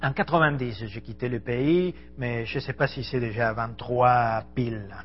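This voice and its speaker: A man's voice: mid-range voice, normal speed, lo-fi sound, soft background music. A middle-aged man from Argentina, a missionary preacher giving an earnest, conversational sermon in a church hall and speaking to the audience directly. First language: Spanish. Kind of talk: sermon to a congregation.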